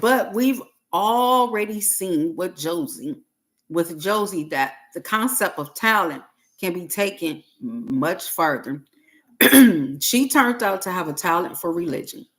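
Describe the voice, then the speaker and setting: A woman reads aloud from a self-help book at a very unhurried pace. Her voice sits a little low, and she breaks sentences into short, deliberate pieces, pausing between them. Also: animated. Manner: halting, deliberate phrasing with pauses and repeats. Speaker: host reading a book aloud on a livestream.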